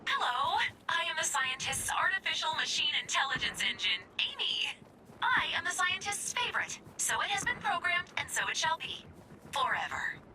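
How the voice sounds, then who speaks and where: A woman's voice: very high-pitched, very animated, moderate pace, noisy background. A woman voicing a sweetly possessive, childlike artificial intelligence in a video game, with formal, precise phrasing. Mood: proud, devoted. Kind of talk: video game character voicelines.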